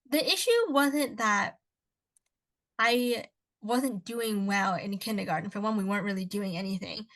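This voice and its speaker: A young woman co-hosting a casual podcast, her first language Cantonese. She talks in long rambling stretches, restarting sentences and wandering into asides, at a middle pitch for a woman. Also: measured pace, fairly bright tone. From Canada. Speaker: podcast co-host. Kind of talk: casual chat.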